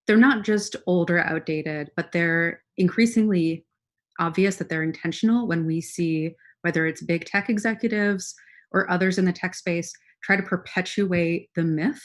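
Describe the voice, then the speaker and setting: Lower-pitched woman moderating a webinar. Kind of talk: conversational panel moderation. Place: remote online webinar.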